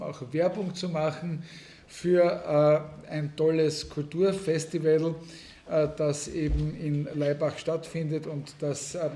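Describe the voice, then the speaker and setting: A man hosts a press conference in a hesitant, measured way. His voice is dark and flat in tone. The room gives it a noticeable echo. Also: a little high, a little hiss or hum. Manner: hesitant, many fillers, slow and measured. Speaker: city official hosting a press conference. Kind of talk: formal press conference welcome.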